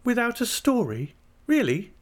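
A man's voice: extremely high pitch, huge pitch swings, a little slow, pitched above the performer's own voice, heavily acted. A man voicing a timid, childlike young wife, affectionate and naive, with a pleading, cooing delivery in an audiobook of a classic novel. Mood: hopeful.

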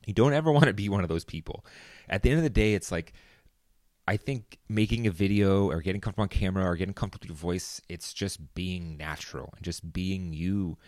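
Young guy doing a solo podcast, low voice, rambly and relaxed, dry close sound. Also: fast, no echo. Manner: casual, rambling, filler-heavy. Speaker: podcast host.

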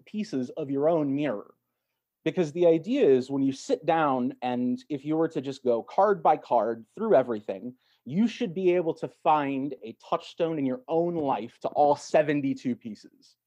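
A man speaking as an interview guest in a measured, reflective, explanatory way. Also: on the high side, mellow. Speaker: podcast guest, actor.

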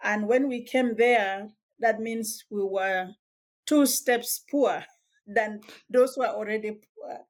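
Slow-spoken woman. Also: medium pitch. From South Sudan. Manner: measured, reflective storytelling with small hesitations. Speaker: guest author. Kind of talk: personal life story in a podcast interview.

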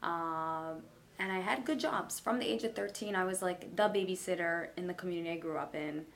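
A woman, a realtor talking in a relaxed, chatty, easygoing way. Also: lowish voice, fairly quick. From Brooklyn, New York. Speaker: realtor guest. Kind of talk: casual interview chat.

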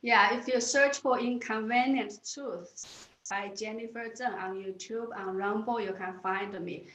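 Woman guest with a Chinese accent, slow and halting, earnest, echoey room. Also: medium pitch, steady tone, a bit bright. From China. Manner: earnest, halting with restarts and repeated words. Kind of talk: interview answers.

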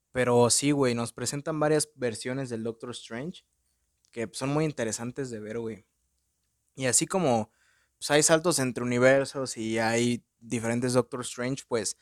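A man's voice: medium pitch, no room sound, studio quality. A young Mexican man with a Mexican accent, casually reviewing a movie at home in slangy, profane talk full of filler words. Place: room at home in front of a window.

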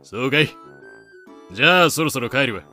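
A man's voice: mid-range voice, animated, normal speed, sharp tone, faint music behind. A man in a fan audio drama playing a cool pirate-era adventurer with casual, dry speech. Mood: dry, teasing. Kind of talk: Japanese fan-made audio drama acting.

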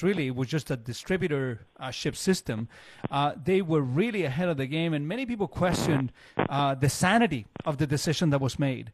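A man's voice: fairly high pitch, a little mellow, a little hiss or hum, rough recording. A man from Chile hosting a radio show in casual, conversational banter.

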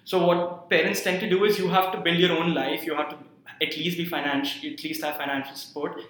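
A man speaks as an interview guest, self-deprecating and quick to laugh. His tone is bright and pressing, pitched a little high for a man. The room adds a noticeable echo. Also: flat delivery. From India. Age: 20s. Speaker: guest, university student. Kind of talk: casual interview. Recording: roomy echo.

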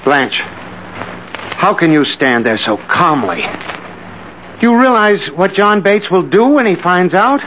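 An actor playing a weak, guilt-ridden embezzler in a 1940s radio thriller, agitated, pleading and hesitant. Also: male voice, high-pitched, very animated, measured pace, long pauses, a bit bright, exaggerated voice. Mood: panicked, pleading. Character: weak, panicky, guilt-ridden male embezzler and junior partner. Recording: muffled old radio recording with hiss.

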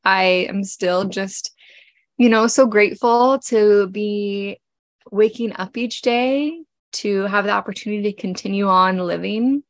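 A woman of forty hosting a podcast, speaking gently and from the heart with many pauses while she gets over a cold. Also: medium pitch, fairly even intonation, no room sound. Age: 40s. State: congested, getting over a cold. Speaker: podcast host. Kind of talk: personal reflective podcast talk.

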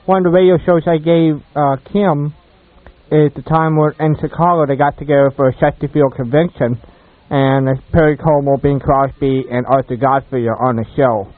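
A man hosting a casual radio chat about old-time radio, speaking haltingly with repeated words and restarts. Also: a little high, steady tone, dry recording. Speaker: radio show host. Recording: tinny, narrow phone-like radio line.

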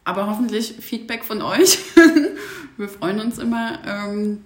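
A woman with an average-pitched voice chats casually as a podcast co-host. She is talkative and laughs easily. Her voice carries the echo of the room. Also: steady tone, a little slow. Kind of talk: casual chat. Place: indoor room at a community workshop. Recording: roomy, echoing sound.

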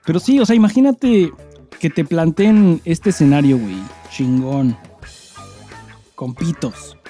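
A man's voice: a little high, measured pace, mellow, slightly rough, soft background music. A Mexican man co-hosting a comedy podcast, chatting casually with vulgar slang and crude storytelling. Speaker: podcast co-host.

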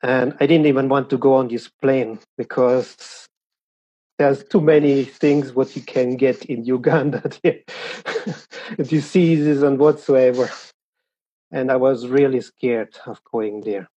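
A man talks slowly and haltingly, often pausing to find his words. His voice is husky and mellow. The recording sounds dull and lo-fi. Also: mid-range voice, steady tone. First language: German. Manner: hesitant, pauses searching for words. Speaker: guest. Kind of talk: casual interview talk.

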